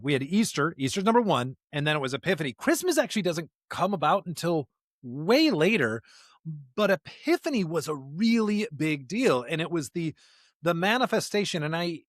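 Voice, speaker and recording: Male podcast co-host, slightly high voice, close dry sound, emphatic and discursive on religious matters. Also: a bit bright, dry recording. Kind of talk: unscripted theological discussion.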